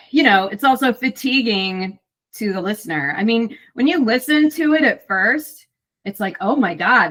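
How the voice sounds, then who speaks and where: A woman's voice: mid-range voice, fairly bright tone. A middle-aged woman, an audiobook narrator and voice actor, chatting casually in an interview, talkative with many fillers and restarts.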